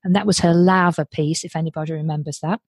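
A woman's voice: sits low, steady tone, fast talker, a little mellow, husky, no echo. Chatty Yorkshire woman, close dry sound. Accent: Yorkshire English. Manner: chatty, exclamatory. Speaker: podcast host. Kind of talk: light-hearted interview chat.

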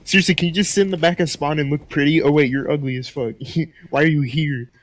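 A man's voice: on the high side, fairly even intonation, quick pace. A young American man gaming and taunting a rival, casual and mocking. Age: young adult. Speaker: streamer.

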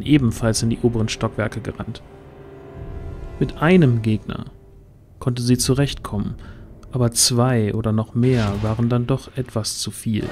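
A man's voice: mid-range voice, darkish tone. A man narrating a scripted fantasy audio drama.

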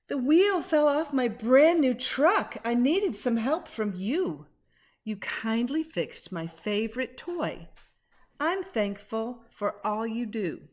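Slow-paced, higher-voiced woman reading to children. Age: middle-aged. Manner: expressive, dramatic when reading, conversational asides to the children. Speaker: teacher reading a picture book to pupils. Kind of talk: interactive children's read-aloud. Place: small living room at home, indoors. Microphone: webcam or laptop at arm's length.

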